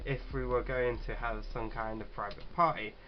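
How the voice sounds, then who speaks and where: A man's voice: medium pitch, steady tone, normal speed, muffled recording, a little hiss or hum. A man hosting a casual gaming read-aloud, jokey and sweary, stumbling a little as he reads. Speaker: gaming video host.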